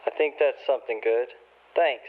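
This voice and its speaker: An actor playing a mild, plain-spoken football fan calling in during a radio play. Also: male voice, medium pitch, normal speed, close to an everyday voice. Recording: slightly dull caller line.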